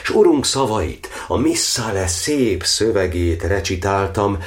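Man playing grave anguished cardinal, low voice, solemn verse monologue, roomy echo w music under it. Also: expressive, close to an everyday voice. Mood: sorrowful, solemn. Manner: solemn, declamatory, verse cadence. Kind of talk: poetic dramatic monologue, radio play.

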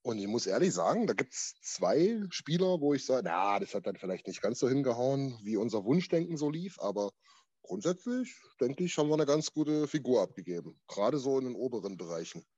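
A man's voice: medium pitch, very animated, fairly quick, warm tone, husky, dry recording, highs cut off. A man co-hosting a casual sports podcast, talking in a chatty, rambling way with frequent restarts. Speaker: podcast co-host.

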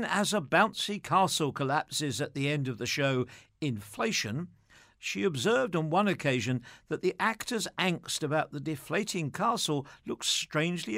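A man's voice: fairly high pitch, vocal fry, a bit bright, no room sound, studio quality. A man presenting scripted material and reading anecdotes aloud.

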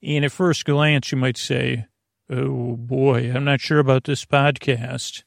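A man with a creaky, lulling voice hosting a bedtime podcast in a meandering, halting way, often interrupting himself. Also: medium pitch, little pitch movement, no room sound, studio quality. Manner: soothing, lulling. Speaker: podcast host. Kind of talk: rambling bedtime sleep podcast monologue.

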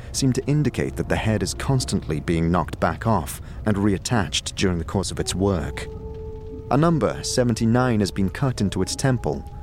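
A man narrating a scripted second-person audio drama in a deliberate storytelling manner. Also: lowish voice, a little mellow. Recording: music behind the voice. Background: background music.

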